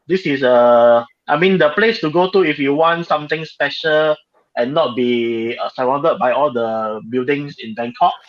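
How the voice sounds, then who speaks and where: A man hosting a relaxed livestream chat, his voice sitting at an ordinary middle pitch. He wanders through his points with plenty of ums and uhs. The sound is a little dull and lo-fi. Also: moderate pace. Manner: relaxed, rambling with fillers. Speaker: host.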